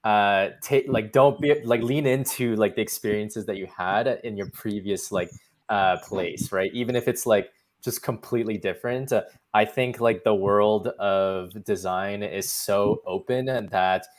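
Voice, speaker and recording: A man with a fairly low voice talks casually on a panel. He is informal and halting, peppering his advice with filler words. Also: steady tone. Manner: informal, halting, heavy use of "like". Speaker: panelist, product designer.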